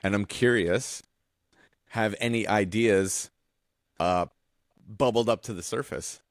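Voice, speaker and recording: A man's voice: fairly low pitch, takes it slowly, no echo, clean studio sound. An American man chatting casually as a podcast host, explaining things in an informal way. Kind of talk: casual chat.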